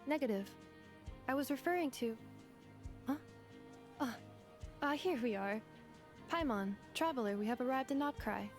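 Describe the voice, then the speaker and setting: A woman's voice: high-pitched, lively intonation, normal speed, long pauses, soft background music. A woman voicing a courteous female robot companion in a video game, speaking in formal, technical, literal phrases. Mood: measured.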